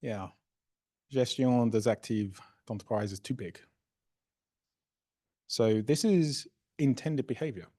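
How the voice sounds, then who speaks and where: A man presenting a software workshop, casually explaining a live demo and often checking in with "right?" and "okay?". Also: mid-range voice, slow pace, pauses often. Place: workshop room.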